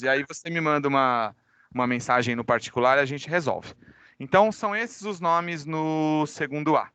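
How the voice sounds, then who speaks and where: A Brazilian man, a high school teacher with a cold, giving informal class announcements online and addressing students directly. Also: pushed and bright, dry recording. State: recovering from a cold. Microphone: microphone.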